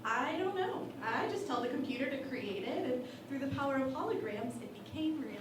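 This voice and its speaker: A woman's voice: sits high, lively intonation, a little fast. A woman playing an earnest, wry psychic starship officer, matter-of-fact, in a parody sci-fi radio play. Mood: relaxed, wry. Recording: very echoey, far from mic, noisy.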